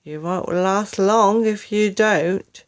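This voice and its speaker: A woman voicing a mean, sly husband in a storybook, with mock-solemn, drawn-out menace. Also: mid-range voice, close to an everyday voice. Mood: grimly bossy. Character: sly, mean husband feigning solemn concern, ghoulishly gleeful.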